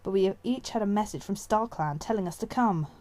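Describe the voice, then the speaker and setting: A woman's voice: medium pitch, a little fast, a little mellow, close to an everyday voice. A woman voicing a blunt, sceptical female warrior cat in an audiobook, matter-of-fact and challenging.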